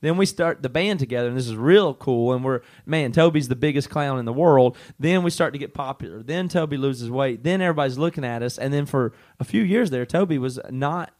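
A man's voice: medium pitch, a little fast, few pauses, darkish tone. A man co-hosting a live podcast with his bandmates, teasing a friend in a casual chat and laying out his points one after another. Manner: teasing, lists points in a building sequence. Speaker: band member and podcast co-host.

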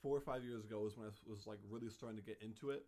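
A man's voice: lowish voice, fairly even intonation, rapid-fire, gravelly, warm tone. A young man hosting a casual chat, telling a story in a chatty way full of "like" and restarts. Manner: chatty storytelling, heavy use of "like".

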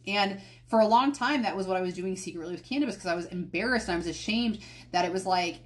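A woman's voice: medium pitch, fast talker. A woman hosting a podcast on her own, talking candidly and rambling a little, often going off on tangents and correcting herself. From United States. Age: adult, past college. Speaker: podcast host. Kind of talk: casual solo podcast talk.

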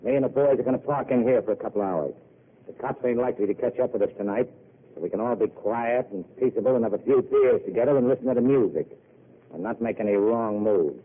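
A man playing a calm, threatening gangster boss in a 1940s radio play, with a slow drawl. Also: medium pitch, natural voice. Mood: menacing. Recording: tinny old radio broadcast.

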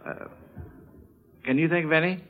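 A man's voice: fairly high pitch, moderate pace, faint music behind. A man hosting a 1940s-style radio quiz for children in a folksy, chuckling manner, often repeating answers back. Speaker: quiz show host. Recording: dull, band-limited old radio recording.

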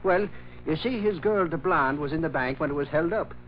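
A man acting an excitable, blustery newspaper reporter with slangy talk in an old-time American radio drama. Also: a little high, fairly quick, close to an everyday voice. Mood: animated, gossipy. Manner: blustery, exasperated, colloquial. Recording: muffled, hissy old radio recording.

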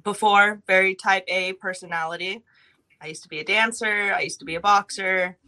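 A young woman from California, a podcast guest telling her life story in a brisk, matter-of-fact way with light laughs. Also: mid-range voice, fairly even intonation, sharp tone, low-quality recording.

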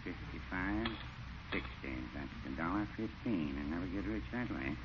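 Mild, fussy shopkeeper, tinny old radio. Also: male voice, sits low, lively intonation, normal speed, background noise. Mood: distracted. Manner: hesitant, polite, literal-minded. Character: mild, fussy, absent-minded married small shopkeeper. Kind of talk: 1940s radio comedy acting.

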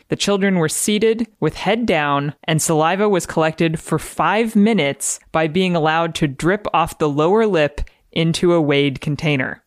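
Woman with a low, very clear voice. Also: no room sound, studio quality. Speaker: podcast guest, scientist. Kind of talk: reading a research paper aloud and commenting conversationally.

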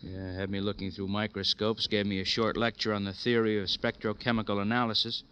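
A man's voice: on the low side, level tone, fairly quick, pushed and bright, a little hiss or hum. A man acting an easygoing, slightly weary police detective sidekick in a classic radio crime drama, colloquial and dry. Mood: dryly griping.

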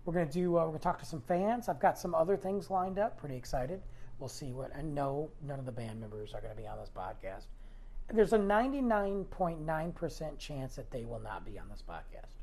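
A man's voice: a little high, expressive, fairly quick, a little mellow. A man hosting his own podcast, talking casually and rambling in a self-deprecating way from his parked car. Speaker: podcast host. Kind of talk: casual solo podcast chat.

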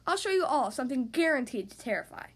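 A woman voicing a firm mother rabbit in a children's storybook, measured and grandly theatrical. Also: high-pitched, very animated. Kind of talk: children's storybook read-aloud.

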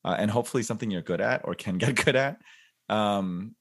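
A man in his forties talks casually as a podcast guest, his voice sitting a little low. He reflects out loud, pausing on fillers and restarting sentences as he works out what he means. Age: 40s. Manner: reflective, thinks aloud with many fillers and restarts. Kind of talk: casual interview chat.

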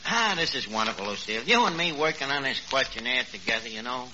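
A man's voice: medium pitch, expressive, fast talker, pushed and bright. An actor playing a cocky, uneducated Brooklyn tavern manager in a 1940s radio comedy, wisecracking, hesitant and mangling his words. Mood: smitten, hopeful. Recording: noisy, muffled old radio recording.

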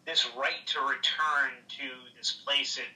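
A man's voice: medium pitch, steady tone, a little slow, bright, forceful tone, far from the mic, low-quality recording. A man in a casual livestream conversation, rambling and swearing, with lots of "like" fillers. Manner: rambling, heavy on "like" fillers, profane. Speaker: livestream guest.

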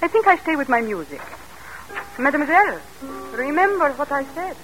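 An actress playing a caring, frank French governess and music teacher with a French accent in an old-time radio drama. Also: female voice, high-pitched, big pitch swings, fairly quick, rough recording. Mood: concerned, chiding. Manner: gently admonishing. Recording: old radio broadcast recording.

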